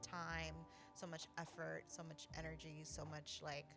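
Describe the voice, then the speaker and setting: Lower-pitched woman in reflective, effusive conversation. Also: monotone, a little slow, vocal fry, over music. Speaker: podcast co-host.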